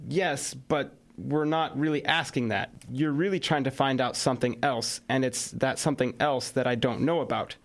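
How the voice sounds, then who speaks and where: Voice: medium pitch, steady tone, moderate pace, few pauses, close to an everyday voice. An actor playing a helpless oracle compelled to answer questions in an audio drama. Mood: helpless, resigned.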